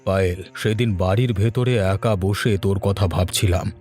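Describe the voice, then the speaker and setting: A man's voice: deep voice, steady tone, normal speed, a little mellow, soft background music, lightly acted. A man voicing a doting, fearful village father in a cartoon, speaking in a tender, uneasy way. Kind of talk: cartoon voice acting.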